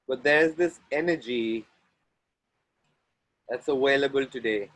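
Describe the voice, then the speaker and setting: Man, Indian accent, very slow pace, chant-like repetition, online workshop facilitator, outdoors by the ocean. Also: medium pitch, a bit bright, low-quality recording. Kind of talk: guided group facilitation with repeated clearing phrases.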